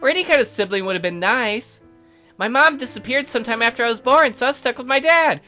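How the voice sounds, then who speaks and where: Woman acting a bright, lively teasing girl classmate. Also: on the high side, fast talker, soft background music. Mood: casual, upbeat. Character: upbeat, teasing young female classmate. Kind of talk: visual novel character dialogue.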